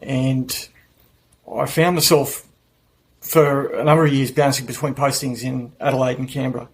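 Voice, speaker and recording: A man in his forties with an Australian accent, answering interview questions in a relaxed, measured way. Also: medium pitch, a little hiss or hum, low-quality recording. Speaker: podcast guest.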